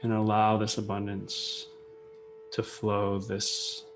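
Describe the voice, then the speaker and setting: A man guides a meditation in a fairly low voice with a marked creak. He speaks very slowly, in short phrases with long pauses. Music plays behind him. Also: completely flat delivery. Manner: unhurried, pausing between short phrases.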